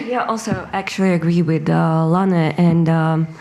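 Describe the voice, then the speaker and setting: A woman's voice: lowish voice, a little slow, a little mellow. A woman speaking as a panelist, thinking aloud and hedging with fillers. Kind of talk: panel discussion.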